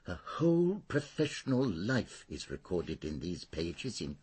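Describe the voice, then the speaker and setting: An actor playing a fussy, dignified older former schoolmaster in a radio drama, an English man speaking in a hesitant, precise, formal way with a husky voice. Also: deep voice, sing-song, measured pace, a little mellow, exaggerated voice. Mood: earnest, proud.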